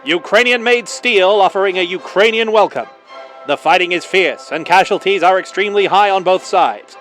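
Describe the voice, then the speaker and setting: A high-pitched, declamatory wartime newsreel announcer in the 1940s manner, put on by a man. He delivers patriotic narration with rousing drama, and music plays behind him. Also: normal speed, a bit bright. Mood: rousing, defiant.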